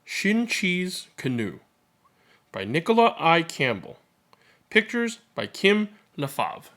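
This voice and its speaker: A man reading a children's picture book aloud as narrator, in a measured, expressive and dramatic storytelling manner. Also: on the high side.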